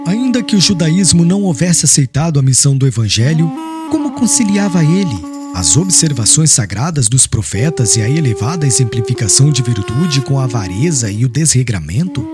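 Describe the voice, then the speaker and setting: A man's voice: mid-range voice, very animated. A man narrating an audiobook in a solemn, formal, dramatic literary style. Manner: solemn, formal, measured phrasing. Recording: clear music bed under voice.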